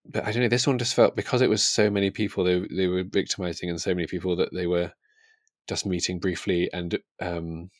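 A man's voice: sits low, steady tone, brisk, dry recording, studio quality. A man co-hosting a podcast in a casual book discussion, speaking in a tentative, thoughtful way.

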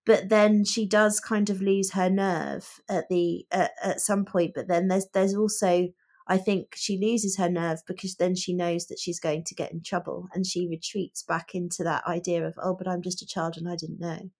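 A woman co-hosting a casual book discussion, giving her opinions in a reflective, measured way. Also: lowish voice, little pitch movement, a little mellow. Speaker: book podcast host.

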